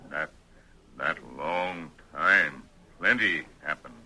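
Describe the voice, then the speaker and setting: An actor playing a calm, reassuring Western sidekick who speaks slow, terse broken English in 1930s radio style. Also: male voice, a bass voice, full character voice. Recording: vintage 1930s radio recording.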